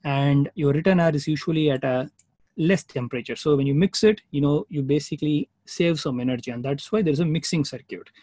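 A man with a husky, somewhat high-pitched voice gives an informal webinar lecture as instructor. The sound is close and dry, with no room around it. He explains things in a plain, explanatory way and often checks that listeners follow. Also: fairly quick, dark tone, no echo. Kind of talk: informal online technical lecture with slides.

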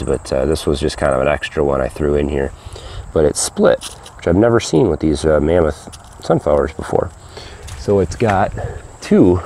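Low-voiced middle-aged man, easygoing outdoor garden vlog on a handheld camera, crickets in the background. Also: creaky, dark tone, slight background noise. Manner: rambling, easygoing, many fillers and restarts. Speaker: gardening vlogger. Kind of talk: casual walking garden tour. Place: backyard vegetable garden outdoors. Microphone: handheld camera mic. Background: crickets and insects.

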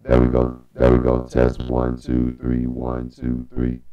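A man's voice: monotone, measured pace, warm tone, highs cut off. A man speaking through a robotic vocoder effect, testing equipment with short spoken phrases. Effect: robotic vocoder, pitched far down.

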